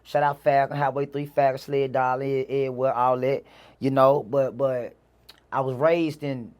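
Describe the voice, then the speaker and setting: A man speaks with a Southern accent from Mississippi at a middling pitch. He is an interview guest telling stories casually. His talk is full of slang, repeated phrases and "you know". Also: flat delivery, moderate pace. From Mississippi, USA. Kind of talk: casual conversational storytelling.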